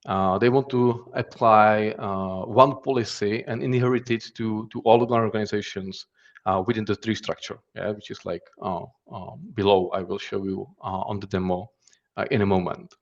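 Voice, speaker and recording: Czech guy doing a conference talk, lowish voice, hesitant and repeats himself, kinda dull muffled sound. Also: steady tone, moderate pace. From Czech Republic. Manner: hesitant, repeats words and phrases. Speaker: threat intelligence specialist giving a conference talk. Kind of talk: conference presentation with demo.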